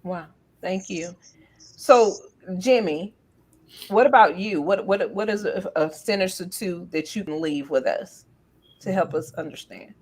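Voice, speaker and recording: A woman's voice: lowish voice, unhurried, husky. A woman hosting a casual panel talk, affirming her guests and addressing them affectionately. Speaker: talk show host.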